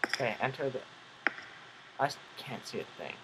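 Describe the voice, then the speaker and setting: A man's voice: mid-range voice, animated, normal speed, noisy background. A young man co-hosting a gaming video in a small room, chatting casually and wryly with a friend. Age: young adult. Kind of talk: casual let's play banter. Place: small home room, indoors.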